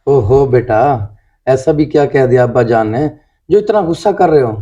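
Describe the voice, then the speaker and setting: A man playing an indulgent father in a scripted family drama, speaking earnestly and deliberately. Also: medium pitch, expressive, mellow, dull audio, lightly acted. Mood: soothing. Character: indulgent father of a young boy, respectful but firm son.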